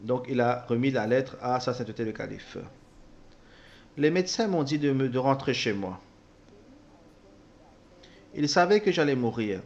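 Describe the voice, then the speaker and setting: A man interpreting a personal testimony, speaking haltingly with repeated words and self-corrections. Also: mid-range voice, muffled recording. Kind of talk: spoken translation of a personal testimony.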